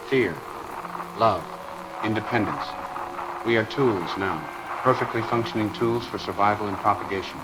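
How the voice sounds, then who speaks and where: Noisy log of low-voiced alien scientist duplicate man acting. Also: close to an everyday voice. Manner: detached, measured, ominous. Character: alien duplicate of male polar scientist, calm, fatalistic. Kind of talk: scripted audio drama personal log.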